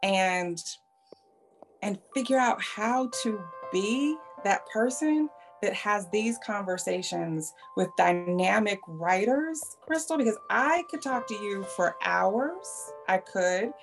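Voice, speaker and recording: A woman hosting a podcast interview, thinking aloud and searching for words, then rushing through lists. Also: mid-range voice, lively intonation, faint music behind, rough recording. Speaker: podcast host. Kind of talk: reflective interview chat.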